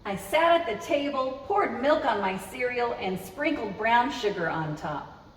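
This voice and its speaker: Slow, highly animated woman reading to children. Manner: expressive, addresses young listeners directly. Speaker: children's librarian, storytime host. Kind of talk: children's read-aloud storytelling. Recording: roomy, echoey, distant mic with noise.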